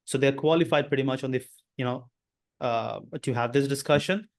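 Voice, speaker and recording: A man's voice: medium pitch, fairly quick. A man, asking a sales coach questions on a video call, searching for his words. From India. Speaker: coaching client.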